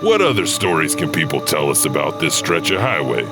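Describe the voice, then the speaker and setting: A man's voice: extremely low pitch, big pitch swings, fairly quick, over loud music, heavily acted. A man playing a folksy, wry, teasing narrator of ghost stories. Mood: wry, ominous. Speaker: narrator and storyteller. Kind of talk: spooky anthology narration.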